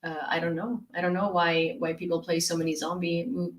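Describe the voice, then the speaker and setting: A woman's voice: lowish voice, flat delivery, a little fast, roomy sound. A young woman from Ukraine chatting casually on a live stream and reading viewers' messages, giggling often and trailing off into fillers and restarts.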